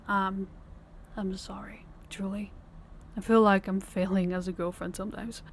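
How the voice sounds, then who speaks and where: A young woman voicing a tired, tender working girlfriend in an audio roleplay, speaking softly and intimately, often close to a whisper. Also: mid-range voice, little pitch movement, moderate pace, a little hiss or hum, lightly acted. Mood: tired, affectionate. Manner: soft-spoken, intimate, often near a whisper. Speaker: voice actor.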